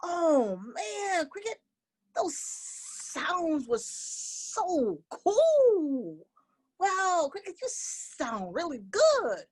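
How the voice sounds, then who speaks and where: A woman's voice: extremely high pitch, huge pitch swings, drawn-out words, darkish tone, pitched above the performer's own voice, heavily acted. A woman voicing a laid-back, easily delighted male snake in an oral fable, with a cool drawl full of wonder. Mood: delighted awe.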